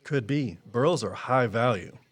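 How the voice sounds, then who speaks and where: An actor playing a guarded, loyal tree expert in an audio drama, speaking in a plain, matter-of-fact way. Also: male voice, mid-range voice, animated, a little slow, slightly rough. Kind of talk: naturalistic audio drama dialogue.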